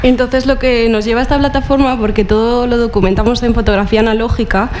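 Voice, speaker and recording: A young woman from Murcia speaks as a curator on a panel, in a fairly high voice. She talks in a relaxed, hesitant way, with many fillers and restarts. Faint ambience of a large auditorium sits behind her. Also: fast talker, a little hiss or hum. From Murcia, Spain. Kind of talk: panel talk.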